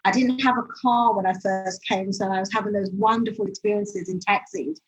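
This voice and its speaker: A woman from Nigeria with a British accent, chatting as a podcast guest. She tells her story in a relaxed, storytelling way and laughs easily. Her audio is a bit dull and lo-fi, and her pitch sits in the middle. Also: fairly quick. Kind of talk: casual interview conversation.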